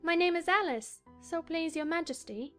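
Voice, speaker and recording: A woman's voice: very high-pitched, moderate pace, fairly bright tone, faint music behind. A woman playing a curious, polite, outspoken little girl in a storybook audio drama.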